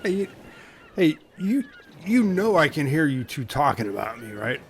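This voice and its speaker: Laddish, easily offended island castaway played by a man, lively intonation, casual, faint noise, comedy radio play. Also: on the high side, normal speed. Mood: indignant. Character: touchy, laddish male castaway teased for his outfit.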